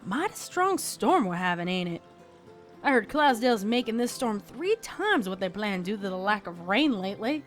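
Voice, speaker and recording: A woman's voice: mid-range voice, very animated, normal speed, soft background music, close to an everyday voice. A woman voicing a down-to-earth, plucky female farm pony with an American Southern accent and a folksy, plain-spoken manner. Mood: casual. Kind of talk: fan fiction character voice acting.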